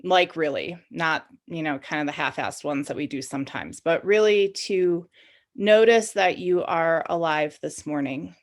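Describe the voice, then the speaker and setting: A woman's voice: sits low, expressive, normal speed. A woman leading a guided meditation in an informal, conversational and inviting way, as the guide of the session.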